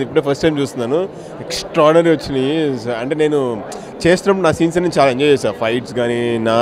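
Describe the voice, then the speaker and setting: Male actor, Telugu accent, mid-range voice, casual code-mixed interview talk, busy crowd behind. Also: mid-range voice. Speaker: film actor being interviewed.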